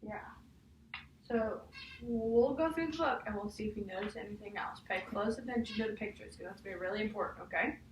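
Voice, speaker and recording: A woman's voice: medium pitch, a little fast. A young woman reading a picture book aloud to a child, in an encouraging way with questions to check in. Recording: echoey room, distant mic, faint noise.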